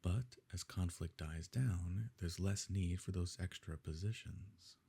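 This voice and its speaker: A man playing a smug, wealthy evil nobleman in an intimate ASMR roleplay, suave and condescending, with a gravelly, husky voice. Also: low voice, a little slow, dark tone, exaggerated voice. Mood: sly, self-satisfied. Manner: suave, condescending, leisurely formal phrasing.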